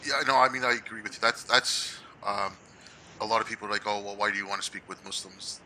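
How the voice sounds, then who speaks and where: A man's voice: on the low side, steady tone, fast, bright, forceful tone, a little hiss or hum, low-quality recording. A young man hosting a podcast, talking casually and peppering his speech with "like.". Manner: casual, says "like" and "yo" a lot. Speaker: podcast host.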